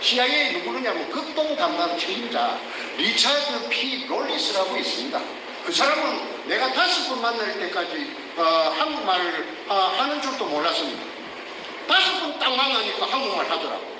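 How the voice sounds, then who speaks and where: A man's voice: sits high, sing-song, normal speed. Older man, heated Gyeongsang accent. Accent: Gyeongsang Korean. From South Korea. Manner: heated and emphatic, repeatedly shouting rhetorical questions. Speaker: whistleblower. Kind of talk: emotional testimony. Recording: echoey distant room with noise.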